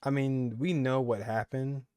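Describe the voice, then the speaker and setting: A man's voice: mid-range voice, fairly even intonation, a little slow, mellow, clean tone, dry recording, clean studio sound. A man doing casual playthrough commentary as a gaming creator, reacting in an informal, rambling way. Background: faint music.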